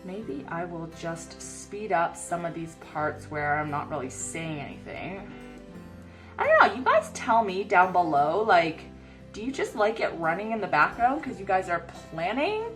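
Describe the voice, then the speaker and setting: A woman in her thirties with a somewhat low voice, sniffly from a cold. She rambles in chatty, filler-heavy talk while planning at a desk, her voice fading now and then as she turns from the camera mic. Faint music plays underneath. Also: fairly bright tone. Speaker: planner vlogger. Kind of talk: casual chat while planning. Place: home desk by a bright window.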